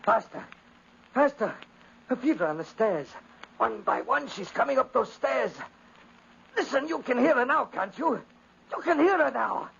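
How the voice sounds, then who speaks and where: A feverish, wildly swooping crazed aristocrat. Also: male voice, very high-pitched, animated, moderate pace, creaky, long pauses, heavily acted. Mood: frantic terror. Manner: feverish, urgent, theatrical. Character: crazed, paranoid aristocrat haunted by his sister. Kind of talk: 1950s radio acting. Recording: dull, noisy 1950s radio recording.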